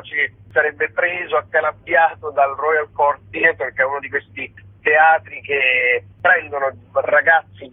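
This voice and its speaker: A man's voice: a little high, slightly rough. A middle-aged man, chatting casually as a radio guest and searching for words. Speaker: actor interviewed as guest. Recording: tinny phone line with echo.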